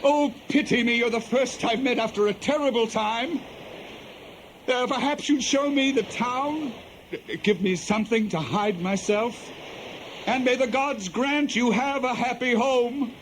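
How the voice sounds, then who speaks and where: An actor playing a desperate shipwrecked Greek hero in a 1970s radio play, theatrical and halting. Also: male voice, very high voice, slight background noise, rough recording. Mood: humble pleading.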